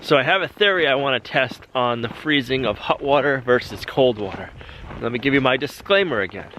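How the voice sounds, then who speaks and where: A man with a mid-pitched, ordinary voice talks through his farm chores and a home experiment in a relaxed vlog style. He explains things step by step in a chatty, down-to-earth way, with a faint hiss of noise under the recording. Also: a little fast, low-quality recording. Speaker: homestead vlogger. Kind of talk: casual how-to vlog narration. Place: outdoors on a farm in winter.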